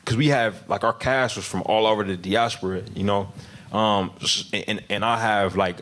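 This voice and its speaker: A man with a fairly low voice talks through his answers in a relaxed interview. He stumbles and restarts often, fills gaps with "you know", and breaks into laughs along the way. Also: slight background noise. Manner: halting, many restarts and fillers, laughs often. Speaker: film director guest. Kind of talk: casual interview conversation.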